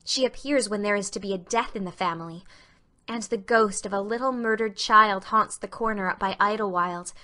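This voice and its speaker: A woman's voice: medium pitch, normal speed, pushed and bright, lightly acted. A woman voicing an imaginative, fearful young girl with impassioned, romantic delivery in a dramatic audiobook. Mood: earnest, dreamy. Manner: breathless, impassioned, romantic storytelling. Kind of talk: dramatic audiobook character reading.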